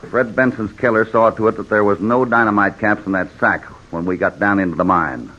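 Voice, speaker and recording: Resolute cowboy hero, American, lowish voice, firm and authoritative, radio drama acting, reverberant old recording. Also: male voice, a little fast, pushed and bright, dull audio. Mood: accusing. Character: steady, resolute male cowboy hero, brave, commanding. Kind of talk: 1940s-50s radio western acting.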